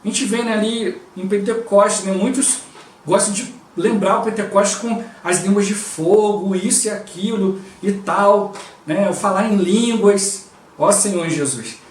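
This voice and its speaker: A Brazilian man preaches in a relaxed, conversational way, sprinkling his talk with quick prayers. His voice sits high for a man. He sounds set back from the mic in a reverberant room. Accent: Brazilian Portuguese. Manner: conversational, constantly interjects "O Lord Jesus" and "né". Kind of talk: devotional preaching. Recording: roomy and distant from the mic.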